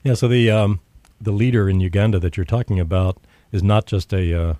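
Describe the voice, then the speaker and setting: A man's voice: lowish voice, fairly even intonation, fairly quick, mellow, dry recording. A man hosting a radio interview show, talking conversationally with frequent fillers. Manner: conversational, many fillers and restarts.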